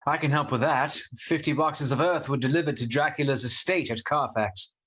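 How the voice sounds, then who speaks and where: A man's voice: mid-range voice, moderate pace, fairly bright tone, natural voice. A man playing an earnest, matter-of-fact solicitor in a radio play.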